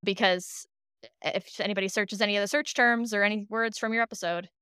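A woman with a strikingly clean, bright voice talks casually as a podcast producer being interviewed. She tells things in an animated way, voicing imagined exchanges. The sound is dry, with no room echo. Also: mid-range voice, a little fast, smooth. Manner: animated storytelling, acts out imagined dialogue.

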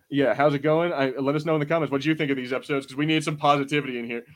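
Higher-voiced man in casual podcast chat. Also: fairly even intonation, fast. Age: 20s. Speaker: podcast host. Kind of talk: casual panel chat reviewing a show.

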